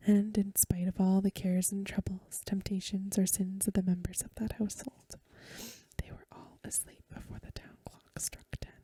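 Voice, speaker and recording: A woman reading a story aloud as soft-spoken ASMR, in a gentle, hushed, whispery voice close to the microphone. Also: medium pitch, little pitch movement, moderate pace, husky, no room sound. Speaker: ASMR host.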